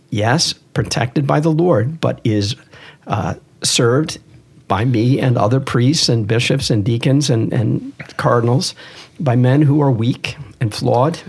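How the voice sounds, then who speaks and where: A man's voice: mid-range voice, expressive, slow, darkish tone, breathy edge. A man speaking as a priest and podcast host in earnest religious discussion, emphatic and given to repeating key phrases.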